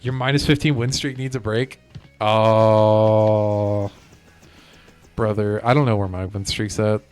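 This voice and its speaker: A man's voice: on the low side, fairly even intonation, slow, darkish tone, clean tone. A man chatting casually as he livestreams a video game. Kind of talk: casual gaming chat. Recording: music playing behind the voice. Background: music.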